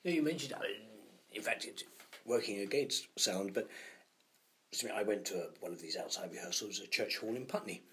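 A man musing about sound effects in an interview, sometimes dropping to hushed asides. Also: fairly low pitch, moderate pace. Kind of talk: anecdotal interview chat.

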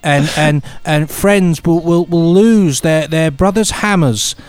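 A man co-hosting a podcast, reading out listener emails and chatting casually in a jokey, sometimes halting way. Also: fairly high pitch, clear, resonant tone, no room sound. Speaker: podcast co-host.

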